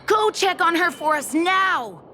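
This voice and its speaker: Excitable game kid boy, very high voice, theatrical, self-important, slow-paced delivery. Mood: worried, urgent. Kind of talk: animated video game voice acting.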